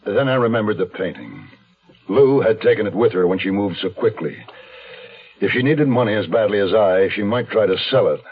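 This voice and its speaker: An American actor playing a hunted, weary man on the run who tells his own story in a brooding, deliberate radio mystery style. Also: fairly low pitch, expressive, breathy edge. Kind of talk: 1970s radio mystery drama, first-person noir narration. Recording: lo-fi phone-like 1970s radio, faint music.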